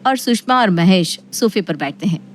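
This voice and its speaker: A woman's voice: mid-range voice, animated, normal speed. A woman narrating a scripted family story.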